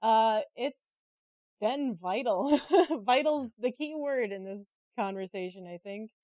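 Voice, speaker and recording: A woman talking casually and candidly as an interviewed artist, chatty, expressive and a little self-deprecating. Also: on the high side, slow, no room sound, lo-fi sound. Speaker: interviewed artist and creative director. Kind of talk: casual, candid podcast conversation.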